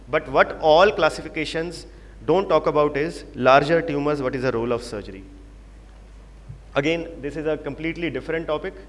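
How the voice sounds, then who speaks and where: A man with an Indian English accent presenting and moderating a medical panel, explaining with emphatic repetition. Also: a little high. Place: large conference hall. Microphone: handheld microphone. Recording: reverberant hall, faint background noise.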